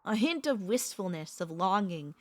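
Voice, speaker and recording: A woman voicing a centuries-old vampire mistress, a tender, possessive girlfriend, speaking softly and intimately with courtly wording and hesitant pauses in an ASMR roleplay. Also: mid-range voice, lively intonation, no echo, lightly acted. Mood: possessive, longing. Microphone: close studio microphone, dry.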